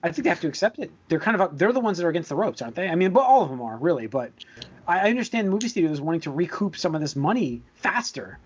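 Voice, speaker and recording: Fast-talking man hosting, slightly high voice. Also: very animated, husky, dull audio. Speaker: podcast host. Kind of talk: casual podcast discussion.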